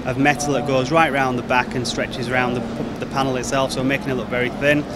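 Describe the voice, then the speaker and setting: A man in his thirties talks up his company's TVs in a smooth, promotional way, his pitch sitting in an ordinary middle range. He is recorded on a handheld mic at a crowded trade show, with plain, steady hall noise running behind him. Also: quick pace. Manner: fluent, promotional, product-focused. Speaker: company marketing manager. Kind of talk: trade show interview answers. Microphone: handheld mic held by interviewer. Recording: noisy trade show floor. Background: crowd chatter and hall noise.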